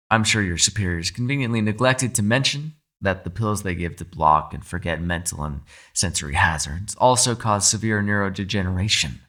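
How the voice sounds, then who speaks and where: Man voicing a low, crisp-edged manipulative captive entity. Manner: measured, formal, probing, quietly condescending. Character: captive mind-controlling anomaly, calm, shrewd, persuasive manipulator. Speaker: voice actor. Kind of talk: ASMR roleplay, dramatic monologue.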